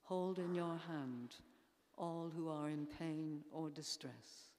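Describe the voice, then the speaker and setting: An older woman leading formal prayers in a solemn, measured way, reading with pauses between phrases. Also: sits low, a little mellow, a little hiss or hum. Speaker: church intercessor leading prayers. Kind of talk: formal read liturgical prayer. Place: large church interior. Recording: echoey church hall, long reverb.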